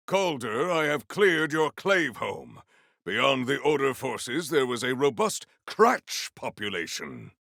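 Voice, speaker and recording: An actor playing a solemn, valiant warrior hero in a video game, speaking in formal, archaic, grandiose phrases. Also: male voice, medium pitch, fairly bright tone, dry recording, studio quality, full character voice. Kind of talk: theatrical video game character lines.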